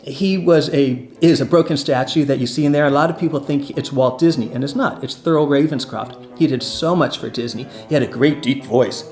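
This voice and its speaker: A man's voice: mid-range voice, fairly quick, few pauses, darkish tone, soft background music. A man telling park history stories in a casual, conversational, enthusiastic way. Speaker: presenter and storyteller. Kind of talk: casual storytelling about theme park history.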